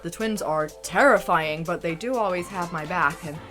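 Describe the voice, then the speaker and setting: A woman's voice: lowish voice, moderate pace, music in the background, natural voice. Woman as dry, sardonic tomboy student. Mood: reluctant. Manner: dry, blunt. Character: sardonic, down-to-earth teenage girl passing as boy student. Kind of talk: fan parody dub of anime.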